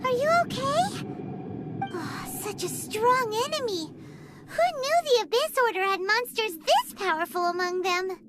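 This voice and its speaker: A woman voicing a small, excitable, timid childlike fairy companion in a video game, with a squeaky, exclamatory delivery. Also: a little slow, heavy background noise. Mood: relieved, uneasy. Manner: refers to self in third person, exclamatory. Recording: clear music behind. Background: game music.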